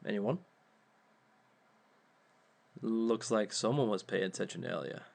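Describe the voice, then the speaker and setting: A man playing a slow, gravelly, sardonic villain lecturer. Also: lowish voice, exaggerated voice. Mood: wry. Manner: slow, dry and sardonic, with small amused laughs. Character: suave, wry male villain lecturing a seminar. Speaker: villain instructor. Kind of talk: audio roleplay monologue.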